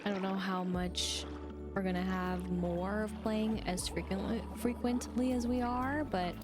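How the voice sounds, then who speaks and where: A woman's voice: mid-range voice, fairly even intonation, measured pace. Casual woman rambling over game music. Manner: rambling, thinking aloud. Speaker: game streamer. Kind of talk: casual live gaming commentary.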